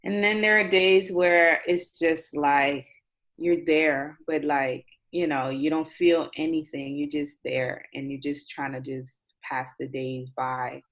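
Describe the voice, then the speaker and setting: A woman's voice: deep voice, fairly even intonation, slow. A woman of twenty-eight, chatting casually and candidly as an interview guest and repeating phrases for emphasis, over a video call. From Nassau, Bahamas. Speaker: interview guest, chemistry graduate student. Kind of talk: casual chat. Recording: dull, lo-fi video call audio.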